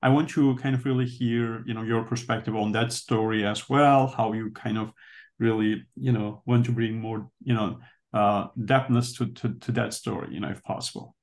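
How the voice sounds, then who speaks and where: A man with a Turkish accent talks in a book club discussion. His pitch stays flat and level, and his soft-spoken delivery pauses often as he searches for the right English words. His native language is Turkish. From Turkey. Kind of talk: casual discussion.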